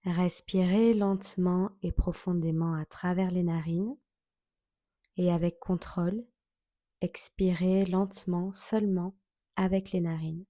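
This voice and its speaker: A woman leading a guided yoga relaxation as an instructor, giving soothing, measured instructions with long pauses. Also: lowish voice, steady tone, warm tone, no room sound, studio quality.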